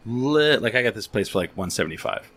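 A man with a lowish voice hosts a casual podcast chat from a home studio. His speech is loose and rambling, and he restarts his sentences often. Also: very animated, a little fast, a bit bright. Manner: chatty, restarts, loose conversational. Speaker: podcast host. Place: studio in the back of his house.

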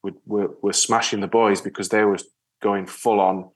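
A man from Yorkshire with a northern English accent, talking casually as an interview guest in a rambling, halting way with repeated words. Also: fairly low pitch, level tone. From Yorkshire, England. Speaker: interview guest, ocean rower and spearfisher. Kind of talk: casual conversational storytelling.

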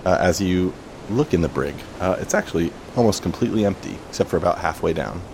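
A man improvising narration as game master, casual and halting as he sets out each scene. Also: rough recording. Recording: clear steady rumble behind voice.

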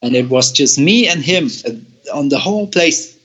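A young man from Germany, a guest telling a long personal story with halting, repeated words and frequent laughs. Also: fairly high pitch, expressive, fairly bright tone, breathy edge, highs cut off. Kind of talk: storytelling in an interview.

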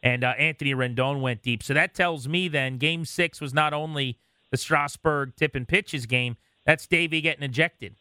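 A man's voice: on the high side, moderate pace, pushed and bright, smooth, dry recording, clean studio sound. An American man hosting a casual sports talk show, chatting conversationally about games.

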